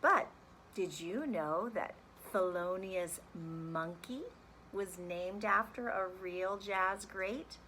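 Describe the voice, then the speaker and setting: Lady teacher doing a kids read-aloud, bright voice, unhurried, bit of noise on it. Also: lowish voice, low-quality recording. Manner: animated, deliberate, instructive. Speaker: music teacher reading aloud. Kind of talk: expressive children's read-aloud and lesson.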